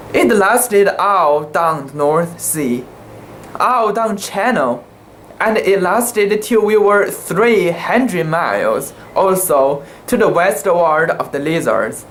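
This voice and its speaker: An amateur narrator reads a classic sea tale slowly and dramatically, with pitch that rises and falls widely. The delivery is halting and theatrical, over light background noise. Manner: halting, theatrical delivery with stumbles and self-corrections. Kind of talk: dramatic reading of a literary sea story.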